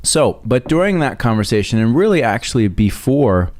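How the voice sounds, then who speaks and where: A man hosting an interview podcast, talking in a measured, hesitant way with many restarts. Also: mid-range voice, big pitch swings, darkish tone. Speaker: podcast host. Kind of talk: casual interview talk.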